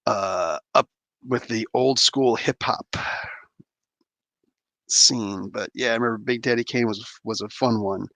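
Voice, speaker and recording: A man's voice: mid-range voice, fairly even intonation, unhurried, long pauses, a bit bright, dry recording. A man in his thirties from New Jersey, hosting a casual livestream, rambling and slangy as he reads chat and opens toys. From New Jersey, USA. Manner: laid-back, rambling, laughs often, slangy. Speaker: livestream host.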